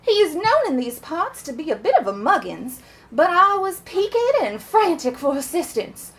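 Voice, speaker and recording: Very high-pitched, swooping belle. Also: female voice, big pitch swings, a bit bright, heavily acted. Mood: swooning confession. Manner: genteel, drawn-out, theatrical. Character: melodramatic, prim yet bawdy Southern belle wife. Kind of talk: comedic live reading of love letters.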